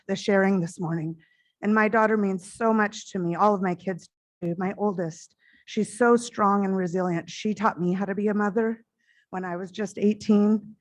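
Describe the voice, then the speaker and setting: A middle-aged woman with a loud voice giving an earnest, heartfelt conference address into a stage microphone, sometimes speeding up in quick bursts. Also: mid-range voice.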